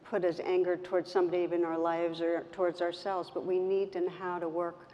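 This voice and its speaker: Soft-voiced woman in a muffled hall. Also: lowish voice, level tone, a little fast. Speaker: meditation teacher. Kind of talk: reflective talk in an on-stage conversation. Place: event stage in a hall. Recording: roomy hall, muffled, background noise.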